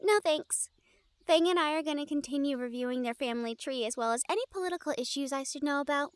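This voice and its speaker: Woman voicing an earnest, high-pitched, bossy young bookworm. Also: fast, no echo, natural voice. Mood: friendly. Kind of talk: scripted audio roleplay.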